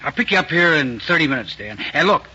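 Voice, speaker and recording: An actor playing a brisk, hurried hijacker in a 1940s radio crime drama. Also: male voice, a little high, animated, bright, forceful tone. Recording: muffled old 1940s radio recording, hiss.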